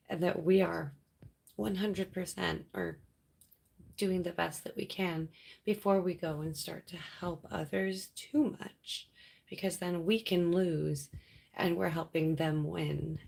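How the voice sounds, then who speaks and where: A young woman from Canada hosting a late-night livestream, chatting with viewers in a relaxed, rambling way and laughing often. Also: fairly low pitch, vocal fry. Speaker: livestream host.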